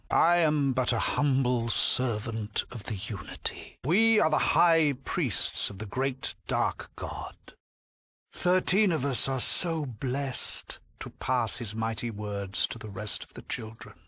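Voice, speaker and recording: A sanctimonious high priest of a game cult. His raspy voice rises and falls widely as he preaches with grandiose condescension and cold menace. The recording is close and dry. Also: fairly bright tone, no room sound, exaggerated voice. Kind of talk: theatrical video game voice acting.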